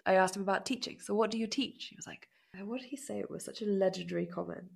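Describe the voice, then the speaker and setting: Husky, creaky woman in her twenties. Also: medium pitch, fast talker. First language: not Dutch. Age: 20s. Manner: animated storyteller, acts out quotes, laughs often. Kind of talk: casual chat.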